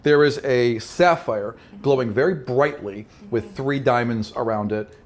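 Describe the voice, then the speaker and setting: A man narrating a tabletop role-playing game as game master, improvising in a casual, animated way. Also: medium pitch, measured pace. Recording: faint background noise.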